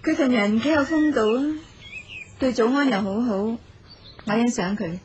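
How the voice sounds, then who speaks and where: An actress playing a warm, teasing female friend in a radio drama, lively in manner. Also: a little high, moderate pace, highs cut off, a little hiss or hum, close to an everyday voice.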